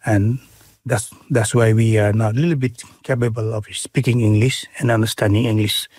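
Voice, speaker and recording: A middle-aged man with a Bhutanese accent, speaking in an interview with many pauses, fillers and restarts. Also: fairly low pitch, steady tone, a little mellow, husky, no echo, studio quality. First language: Nepali. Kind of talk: casual interview.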